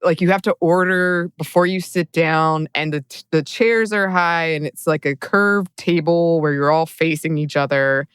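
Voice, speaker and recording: A woman with a low, clean and resonant voice shares a story casually as a podcast co-host. Her delivery is conversational. The sound is tight and dry, free of room echo. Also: normal speed, few pauses, smooth.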